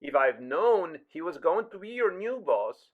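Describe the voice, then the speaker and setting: A man's voice: fairly high pitch, sing-song, unhurried. Telephone filter, actor playing grave insistent man, thin narrow band, terse, guilty, radio-drama delivery. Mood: grave, regretful. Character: urgent, guilt-ridden acquaintance warning over the phone. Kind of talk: audio drama acting. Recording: thin, narrow phone-line sound.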